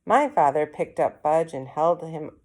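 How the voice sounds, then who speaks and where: Slightly low-voiced woman reading a storybook. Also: lively intonation, unhurried, warm tone. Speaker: read-aloud reader. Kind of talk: children's storybook narration, first person.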